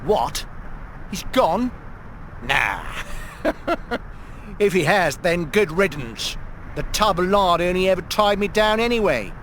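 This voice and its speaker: Greedy sneering villain, high swooping voice, slow taunting delivery, lecherous, video game. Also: male voice, very animated, a bit bright, a little hiss or hum, full character voice. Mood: scornful. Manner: taunting, mocking, with sneering pet names.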